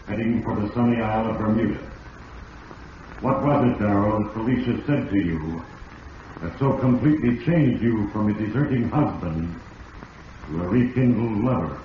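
Casting: male actor for Fate, portentous and deliberate radio narrator, heavily reverberant, on a dull hissy old recording. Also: lowish voice, close to an everyday voice. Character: Fate personified, ominous all-knowing male narrator.

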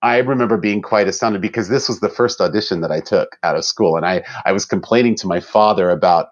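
A man's voice: deep voice, quick pace, dull audio. A middle-aged man from Germany, an orchestra musician, chatting casually in an interview, laughing often and restarting his sentences.

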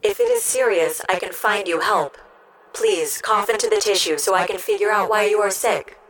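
A woman's voice: medium pitch, normal speed, fairly bright tone, slight background noise, natural voice. A woman playing an earnest, curious health robot in an audio drama, speaking in precise, formal sentences. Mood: earnest, reassuring. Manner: precise, polite, formal wording.

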